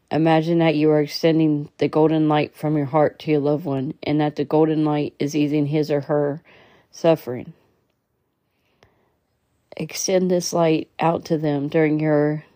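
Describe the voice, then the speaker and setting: A woman leading a guided meditation in a soothing, measured way, occasionally stumbling as she reads. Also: low-pitched, dark tone, dry recording. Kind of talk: guided meditation, slow instructional reading.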